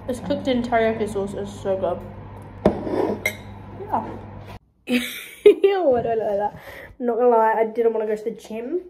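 A woman's voice: on the high side, normal speed, pauses often, noisy background. Chatty young woman vlogging in an echoey room. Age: young adult. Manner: chatty, self-deprecating, rambling. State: out of breath, blocked nose. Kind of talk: casual vlog talk to camera. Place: small kitchen and bare room indoors. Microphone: phone camera propped nearby.

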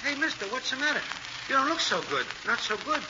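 An actor playing a chatty, street-wise cab driver with brash slang in an old radio play. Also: male voice, very high voice, sing-song, fast. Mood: joking. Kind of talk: 1940s radio acting. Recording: dull, hissy old radio recording.

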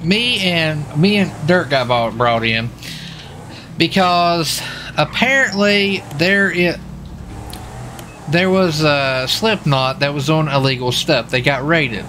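A young man with a slightly high, bright voice chats casually over a game stream. He rambles and circles back on his own words. There is faint noise behind him. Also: slow pace. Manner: rambling, repeats himself. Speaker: livestreamer and role-player. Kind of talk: casual chat while gaming.

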